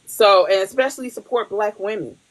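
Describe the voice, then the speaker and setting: A woman's voice: mid-range voice, a little fast, rough recording. A woman hosting a podcast in casual chat, blunt and profane, laughing often. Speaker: podcast host.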